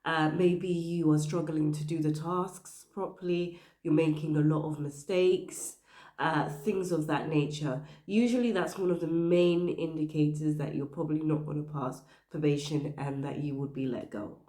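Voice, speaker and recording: Low-voiced woman, croaky from the flu. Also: steady tone, moderate pace, warm tone, echoey room. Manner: earnest, emphatic, stressing points with "okay?" and "you know". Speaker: career advice channel host. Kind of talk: direct-to-camera advice talk.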